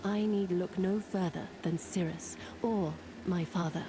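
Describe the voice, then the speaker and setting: Low-voiced, solemn fantasy woman played by an actress. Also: fairly even intonation, background noise, close to an everyday voice. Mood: somber, bitter. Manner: measured, grave, deliberate. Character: solemn, guarded, sorrowful woman keeper of dangerous secrets. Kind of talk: dramatic fantasy game narration.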